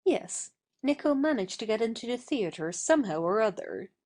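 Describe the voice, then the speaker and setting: Female actor for passionate, defiant young woman in stage satire; mellow tone, swooping intonation. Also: on the high side, big pitch swings, normal speed. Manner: passionate, exasperated, emphatic. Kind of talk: acted stage satire in audio.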